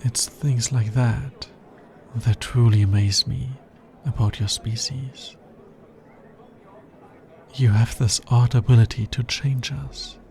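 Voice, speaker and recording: A man playing a tender, earnest alien scientist in an intimate ASMR romance roleplay, soft-spoken and measured. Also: medium pitch, slight background noise. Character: smitten male alien scientist, earnest, tender, fascinated by humans.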